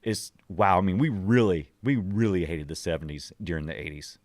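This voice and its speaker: Low-voiced man in his forties chatting casually. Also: moderate pace, no echo, studio quality. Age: 40s. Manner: animated storytelling with acted-out quotes. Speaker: podcast host. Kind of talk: casual nostalgic chat.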